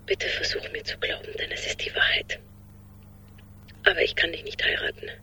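An actress in a radio drama playing an anguished woman leaving a halting, pleading, whispery breakup message on a voicemail. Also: sharp tone. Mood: tearful pleading. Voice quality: breathy, whispery. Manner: halting, pleading, repeating herself.

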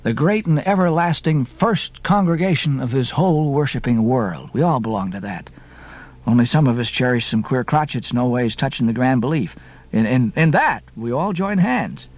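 A man's voice: mid-range voice, expressive, moderate pace, a little mellow, dry recording, voice pushed down, lightly acted. Man as stammering, earnest young sailor. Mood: hesitant, earnest. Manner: hesitant, stammering, persuasive. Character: quick-witted, earnest young male sailor.

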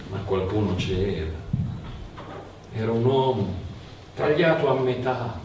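Guy telling a folk tale like on stage, slow and dramatic, really echoey and a bit far from the mic. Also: mid-range voice, darkish tone. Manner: dramatic, incantatory, repeats phrases. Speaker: storyteller and stage actor. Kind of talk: theatrical folk-tale narration. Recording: roomy, distant mic, faint background noise.

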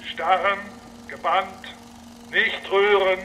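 A man's voice: sits high, fairly even intonation, slow, sharp tone, slight background noise, heavily acted, low-quality recording. A man playing a commanding voice that calls out clipped orders on a film set. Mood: commanding, tense. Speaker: actor in a radio drama.

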